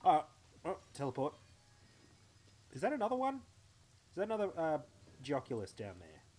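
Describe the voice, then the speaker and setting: A man's voice: a little high, huge pitch swings, moderate pace, a little mellow. A young Australian man chatting playfully to himself while streaming a game live from home. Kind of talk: live gameplay commentary.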